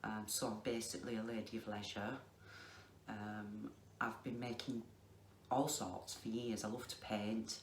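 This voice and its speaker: A middle-aged woman with a Lancashire accent, chatting casually to camera in a slow, deliberate way with many ums. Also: rumbling low, flat delivery. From England. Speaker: craft vlogger. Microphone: facing the camera. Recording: echoey room with faint noise.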